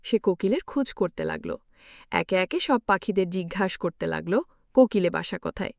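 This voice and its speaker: A woman's voice: on the low side, sing-song, a little fast, vocal fry, a little mellow, no echo, clean studio sound. A woman narrating a scripted children's story, expressively, as if speaking to young listeners.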